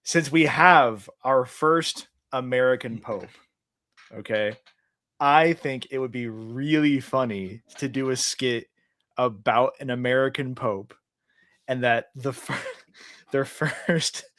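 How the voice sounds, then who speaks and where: A man on a casual chat who laughs through his own stories and pitches comedy ideas. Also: takes it slowly, fairly bright tone, no echo.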